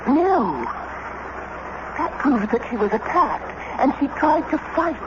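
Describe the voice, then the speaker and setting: Shrewd skeptical wife in old radio play, emphatic, big pitch swings, muffled noisy recording. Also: female voice, sing-song, exaggerated voice. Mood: urgent, reasoning. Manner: theatrical, deliberate, emphatic. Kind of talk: radio drama acting.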